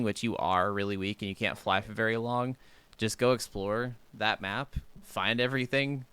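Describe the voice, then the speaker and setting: A man's voice: lowish voice, fairly bright tone, clean tone, no room sound. A man talking casually as a co-host of a video game podcast. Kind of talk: casual chat.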